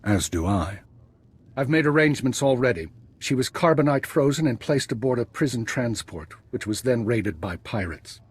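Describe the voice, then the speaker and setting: An actor playing an older, retired spymaster in a video game, composed and dry, with measured formal phrasing. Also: male voice, medium pitch, animated, slightly rough. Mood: brisk, calculating.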